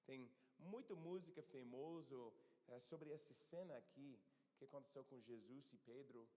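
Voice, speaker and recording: Male pastor, mid-pitched, preaching haltingly in a reverberant church. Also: dark tone. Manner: halting, searching for words, self-correcting. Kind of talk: sermon with scripture reading. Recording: long church hall reverb.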